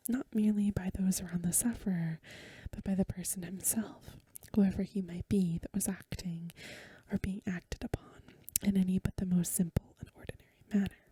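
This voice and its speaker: A woman reading a story aloud for ASMR in a hushed, gentle, unhurried whisper, close to the microphone. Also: mid-range voice, no echo. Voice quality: whispery, breathy. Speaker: ASMR reader and host. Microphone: close mic, dry.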